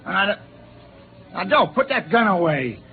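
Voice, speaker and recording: A man's voice: high voice, big pitch swings, a little slow, heavily acted. An actor playing a frightened, protesting spaceman in a 1950s radio drama. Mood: alarmed. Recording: tinny old radio recording with hiss.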